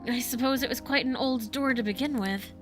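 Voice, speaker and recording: An actor playing a refined, earnest young male art student in a video game, speaking in formal, measured phrasing. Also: very high-pitched, over music. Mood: solemn.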